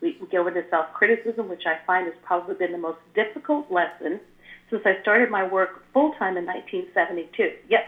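Older woman, low and scratchy, on a tinny echoey line. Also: fairly quick. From California. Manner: earnest, flowing spiritual explanations. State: slightly scratchy after the flu. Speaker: spiritual guest. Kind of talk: casual chat.

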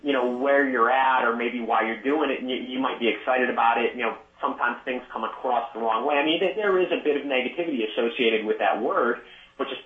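A man hosting an interview talks haltingly, restarting and repeating his words. His voice comes through a narrow, phone-like line with a roomy echo around it. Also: mid-range voice. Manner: halting, repeats words and fills with "you know". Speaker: podcast host. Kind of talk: conversational interview.

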